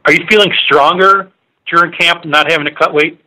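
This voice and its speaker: Bright-voiced man, halting reporter. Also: fairly high pitch, steady tone, low-quality recording. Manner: halting, rambling, informal questions. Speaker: sports reporter asking questions. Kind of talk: press conference call questioning. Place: remote on a media conference call.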